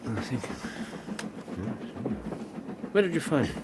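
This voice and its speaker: An actor playing a shrewd, exacting private detective in a radio drama, dry, probing and faintly sarcastic. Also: male voice, mid-range voice, very animated, measured pace, background noise. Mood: thoughtful.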